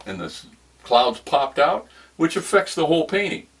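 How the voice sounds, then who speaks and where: A man's voice: fairly high pitch, big pitch swings, moderate pace, breathy edge. An American man in his sixties talking casually about his painting work. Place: indoor home studio.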